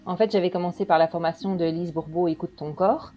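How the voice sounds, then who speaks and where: A woman's voice: on the low side, steady tone, fairly quick, mellow, faint music behind. A woman from Belgium, chatting as an interview guest and telling her story with frequent hesitations. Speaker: guest, quantum practitioner.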